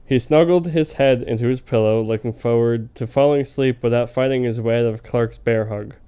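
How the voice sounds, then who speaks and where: A man's voice: mid-range voice, brisk, warm tone, clear, resonant tone. A man reading fan fiction aloud in an informal, comedic way, tripping over words now and then and prone to joking asides. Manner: breaks into laughter easily. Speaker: reader of fan fiction for a comedy web series.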